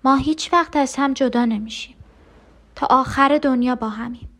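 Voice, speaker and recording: An actress playing a frightened, tender and clinging twin sister in an emotional audio drama monologue. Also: female voice, high voice, a bit bright. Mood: anxious, pleading. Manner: intimate, emotional, pleading.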